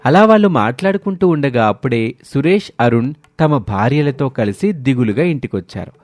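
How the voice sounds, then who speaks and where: A man's voice: mid-range voice, animated, fairly quick, darkish tone, dry recording, soft background music. A man narrating a scripted moral story in a storytelling manner.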